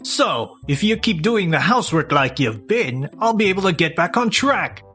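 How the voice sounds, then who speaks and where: A selfish, lazy young husband voiced by a man in a manga dub, with very lively, swooping intonation over faint music. He sounds smug and dismissive, sliding into whiny self-justification. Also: high voice, normal speed. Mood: smug, shameless.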